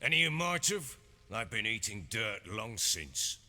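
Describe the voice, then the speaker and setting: An actor playing a blustering middle-aged warlord baron in a video game, with a gruff, husky voice and a Northern English accent. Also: male voice, fairly low pitch, sing-song, a little slow, bright, forceful tone, exaggerated voice. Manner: blustering, emphatic. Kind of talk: video game character acting. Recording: hall reverb, music underneath.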